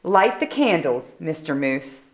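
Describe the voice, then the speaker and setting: A gracious lady moose from a storybook as a woman reader plays her. She is welcoming and delighted, speaking in unhurried, lively tones. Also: sits low, reverberant, a little hiss or hum, voice pushed down, heavily acted. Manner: welcoming, politely directing guests.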